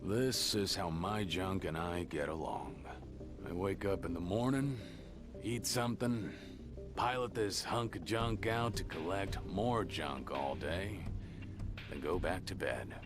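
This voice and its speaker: A male actor playing a gruff, middle-aged rabbit junk digger in a video game, musing fondly in a folksy, unhurried way. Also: sits low. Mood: content, musing. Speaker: game protagonist and narrator. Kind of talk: scripted video game monologue. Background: background music.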